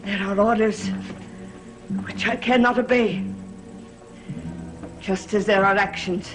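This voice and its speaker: A woman's voice: mid-range voice, steady tone, over music, lightly acted, low-quality recording. An actress playing a fierce, devoted female servant in a gothic soap opera, solemn and declamatory in manner. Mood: grimly resolute. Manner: slow, solemn, declamatory delivery.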